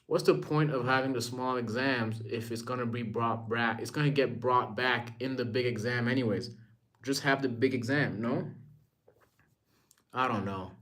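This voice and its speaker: A man's voice: medium pitch, normal speed, a bit bright. A young man from Rwanda talking casually and informally to his live-stream viewers, using slang and often repeating himself.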